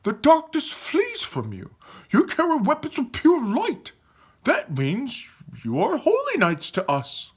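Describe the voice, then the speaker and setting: A man voicing an excitable, reverent young male furry creature with a gushing, dramatic manner in a storybook. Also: high-pitched, moderate pace, husky, pitched above the performer's own voice, exaggerated voice. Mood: raving.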